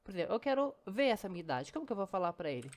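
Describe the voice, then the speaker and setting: A woman's voice: medium pitch, sing-song, very fast, a little mellow. A woman teaching programming in a casual screen-recorded lesson, chatty and step by step, often saying "ó" and "né".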